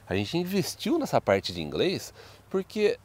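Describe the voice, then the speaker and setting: A man's voice: on the high side, huge pitch swings, a little slow, darkish tone, husky. A Brazilian man co-hosting a casual advice chat outdoors, rambling and often echoing and agreeing. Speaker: video co-host and study-abroad adviser. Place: outdoors in a wooded residential neighbourhood.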